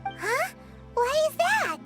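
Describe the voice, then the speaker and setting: A woman voicing a small excitable floating fairy girl in a video game, with a squeaky childlike voice and a perky, eager manner. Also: takes it slowly, exaggerated voice. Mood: curious. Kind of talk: English video game dubbing. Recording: children's music playing loudly underneath.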